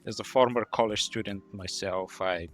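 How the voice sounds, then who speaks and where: A man's voice: lowish voice, steady tone, moderate pace, a little hiss or hum. A man co-hosting a podcast interview. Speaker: podcast host.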